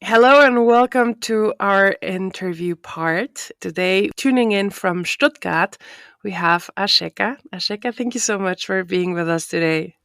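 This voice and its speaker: A woman's voice: medium pitch, unhurried, fairly bright tone, clean tone, no room sound, studio quality. A woman hosting an interview podcast, friendly and chatty, with joking asides. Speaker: podcast host.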